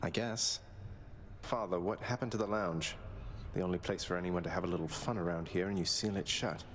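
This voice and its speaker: An actor playing a cheeky, rebellious young prince in a fantasy game, speaking in a dry, casual way. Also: male voice, sits low, steady tone, a little fast, fairly bright tone, slight background noise. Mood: wry, then indignant.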